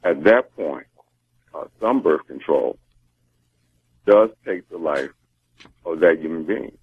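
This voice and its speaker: Deep, husky American man on the phone. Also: expressive, slow pace, dry recording. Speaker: interviewed campaign spokesman. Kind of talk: live interview answers. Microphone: telephone. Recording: muffled, band-limited phone line.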